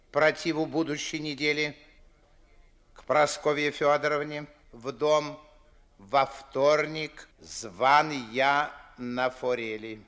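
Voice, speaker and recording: An actor playing a pompous, fussy old aristocratic father in a 1950s radio play, declaiming theatrically with drawn-out emphasis. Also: on the high side, a bit bright, full character voice. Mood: pompous, bossy. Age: older. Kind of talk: 1950s stage-style radio play acting.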